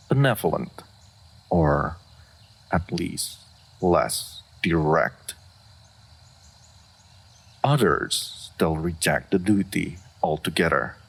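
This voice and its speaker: A man playing an ancient, aloof male dragon in a fantasy roleplay audio, speaking formally and deliberately, stoic and wise, with a gravelly, husky voice. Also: low voice, heavily acted. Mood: measured, lecturing. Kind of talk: roleplay audio monologue, measured fantasy acting.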